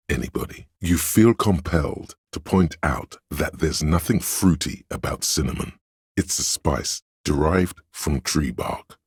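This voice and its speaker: A man voicing a video game's know-it-all inner voice of encyclopedic trivia, erudite and measured, with a gravelly, husky, very deep delivery. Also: no room sound, clean studio sound, exaggerated voice. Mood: self-assured, then musing, pedantic. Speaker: video game voice actor. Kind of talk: scripted game narration.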